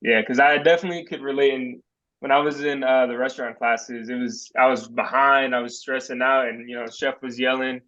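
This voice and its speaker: A man's voice: medium pitch, flat delivery, fairly quick, bright, forceful tone. A young man hosts the panel and agrees readily, his speech full of fillers. The recording sounds slightly dull and lo-fi. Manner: agreeable, backchannels constantly, loose filler-heavy phrasing. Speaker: host. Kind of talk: casual panel talk.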